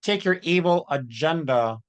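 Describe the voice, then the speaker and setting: A man's voice: on the high side, lively intonation, measured pace, muffled recording. A man delivering informal, off-the-cuff commentary as a channel host, with a colloquial, opinionated way of talking.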